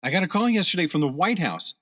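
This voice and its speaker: A man's voice: high voice, very animated, quick pace, a bit bright, full character voice. A man playing an eager, breezy government agent and patient in a radio play. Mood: grateful, amazed.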